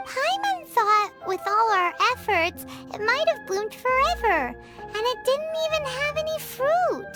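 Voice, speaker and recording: A tiny floating fairy companion in a game, high and squeaky to the extreme. Her voice leaps wildly up and down as she chatters away, and bright music plays behind her. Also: a little slow, exaggerated voice. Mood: disappointed. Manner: chatty and excitable. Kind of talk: fan dub of a game cutscene.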